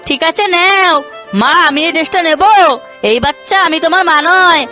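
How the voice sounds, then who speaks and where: A woman's voice: very high voice, normal speed, over music. A woman voicing an earnest, youthful character in a children's cartoon.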